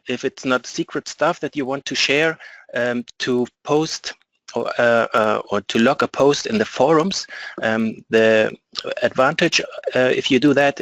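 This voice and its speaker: A man's voice: mid-range voice, fairly bright tone, no room sound, rough recording. A man with a German accent, a product support specialist presenting a webinar from an office, speaking hesitantly with many ums and uhs.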